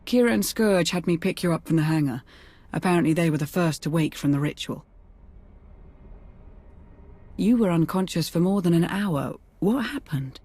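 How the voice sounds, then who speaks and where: A woman's voice: on the low side, lively intonation, fairly quick, few pauses, darkish tone, breathy edge. A woman playing a wry, composed Sith advisor in a video game, with a dry, understated manner. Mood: wry, relieved. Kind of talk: video game cutscene acting.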